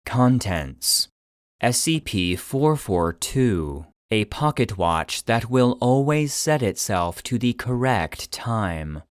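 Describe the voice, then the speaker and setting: A man giving scripted narration in a measured, clinical manner, like a report being read out. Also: medium pitch, sing-song, smooth, no echo, studio quality. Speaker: narrator and producer.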